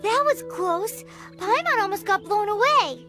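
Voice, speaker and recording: A woman voicing a tiny, chirpy, childlike fairy companion in a video game, excitable yet cautious and cutesy in manner, with music behind her. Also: very high voice, unhurried. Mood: chirpy, relieved. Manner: talks about self in third person, cutesy. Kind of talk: English video game dub acting.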